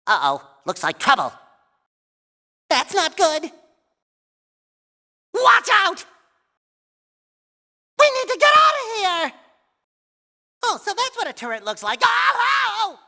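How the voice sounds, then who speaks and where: A very high, bright and nasal cartoon sea sponge, bubbling with childlike cheer. The delivery is squeaky and exuberant, swinging widely in pitch with playful earnestness, as lines for a video game. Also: male voice, heavily acted. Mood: alarmed.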